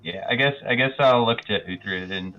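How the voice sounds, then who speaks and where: A man's voice: fairly low pitch, normal speed, dull audio. A man playing a tabletop role-playing game, chatting casually and tentatively about his moves. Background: faint music.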